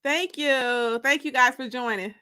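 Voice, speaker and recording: An expressive, theatrical woman hosting story time, moving between dramatic narration and casual chat. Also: high-pitched, unhurried, smooth. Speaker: author and host of live story time.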